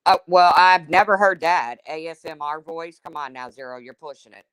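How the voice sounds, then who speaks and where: Woman w a low husky smoker voice, blunt and chatty, reading chat out, dry close sound. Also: moderate pace, fairly bright tone, no echo. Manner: chatty, blunt, reacting to comments. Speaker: livestream host reading chat. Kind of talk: casual chat with viewers.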